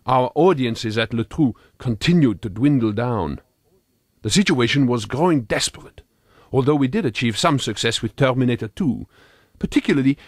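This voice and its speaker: A man performs an earnest Frenchman in his late thirties, narrating his comic memoir with a French accent. His phrasing is formal and rather pompous, in a medium-pitched voice recorded very dry. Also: no room sound, natural voice. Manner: formal, quaintly wordy, earnest. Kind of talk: scripted comic audiobook narration as a diary.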